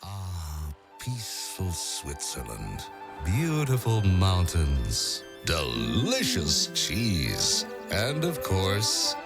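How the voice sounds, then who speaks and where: A man with a very deep voice narrates a film trailer in a slow, theatrical voice-over. His pitch swings widely, and loud music plays behind him. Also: huge pitch swings.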